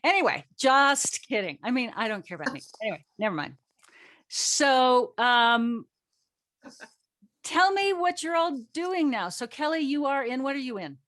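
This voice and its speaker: A woman's voice: high-pitched, animated, normal speed, a bit bright. A woman moderating a panel, giving a scripted introduction with joking, self-deprecating asides and theatrical emphasis.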